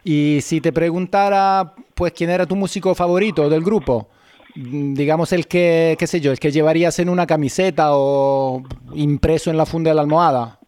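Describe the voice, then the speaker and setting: A man hosting a scripted radio interview, asking polite, measured questions at a studio microphone. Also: a little high, steady tone, darkish tone, no room sound.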